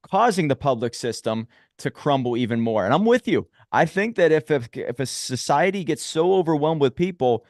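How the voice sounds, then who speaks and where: A man's voice: fairly high pitch, animated, normal speed, few pauses, darkish tone, no echo, studio quality. A man hosting a podcast interview, earnest and opinionated as he lays out his views. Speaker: podcast host. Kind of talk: conversational interview.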